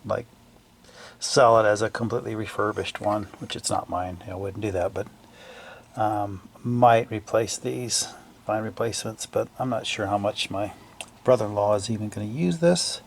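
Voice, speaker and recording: Man, lowish pitch, creaky gravelly voice, casual hands-on repair narration, halting, unscripted, faint background noise. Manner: halting, thinking aloud in trailing fragments. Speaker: hobbyist restorer narrating a repair video.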